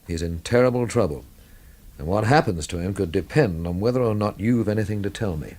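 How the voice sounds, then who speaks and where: Low-voiced, wry world-weary actor as investigator. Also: male voice, a little fast, darkish tone, natural voice. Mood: probing, dry. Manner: dry, literary, laconic. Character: wry, world-weary male investigator narrating his own inquiry. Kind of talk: radio drama narration and dialogue.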